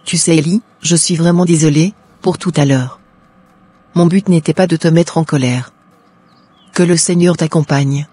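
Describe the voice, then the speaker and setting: A gentle pious wife. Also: male voice, a little high, moderate pace, slightly rough. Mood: prayerful. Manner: soft, pleading, prayerful.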